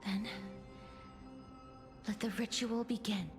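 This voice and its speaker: An actress playing a gentle, solemn young alchemist in a video game, speaking softly and formally in measured phrases. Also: female voice, fairly high pitch, steady tone. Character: gentle solemn young woman, alchemist guiding souls of the dead. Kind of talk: scripted video game voice acting. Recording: game audio with music behind.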